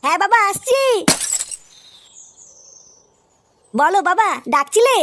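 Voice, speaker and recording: A woman's voice: very high-pitched, very animated, brisk, noisy background. A woman voicing a suspicious young housewife in a comedy cartoon, with a nagging domestic manner. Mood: dutiful.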